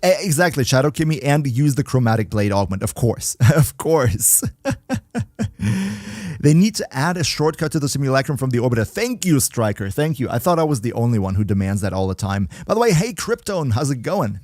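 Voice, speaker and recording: A man's voice: medium pitch, big pitch swings, a little fast, darkish tone, dry recording, studio quality. A man chatting casually with viewers on a livestream, reading chat aloud and joking around. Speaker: streamer.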